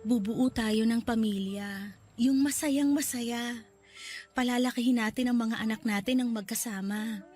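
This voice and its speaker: An actress playing a gravely ill young woman, tender and loving, speaking softly and pleading in a radio drama. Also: a little high, steady tone, unhurried, soft background music, lightly acted. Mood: dreamy. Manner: soft and pleading, voice breaking into tears.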